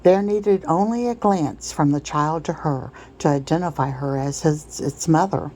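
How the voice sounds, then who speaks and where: Low, mellow woman narrator. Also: normal speed, slight background noise, low-quality recording. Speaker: audiobook reader. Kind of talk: literary read-aloud narration, ASMR.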